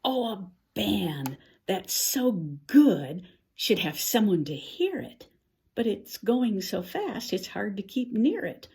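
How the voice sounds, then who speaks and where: An older woman performs a rhyming storybook with theatrical expression. Her medium-pitched voice moves in lively swoops, and she goes slowly, pausing for drama as if musing over each new idea. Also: a little hiss or hum. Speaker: picture-book reader. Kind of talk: animated read-aloud of a rhyming children's story.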